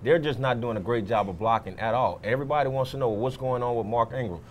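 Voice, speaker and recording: A man's voice: mid-range voice, quick pace, a little hiss or hum. A man giving conversational football analysis as a fill-in co-host, restating his points for emphasis. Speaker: sports show guest co-host.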